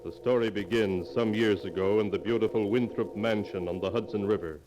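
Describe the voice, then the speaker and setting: An actor playing a solemn, portentous host narrating 1940s radio tales of terror in a theatrical, deliberate manner. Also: male voice, on the low side, fairly even intonation, highs cut off, natural voice. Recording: cavernous, noisy old radio recording.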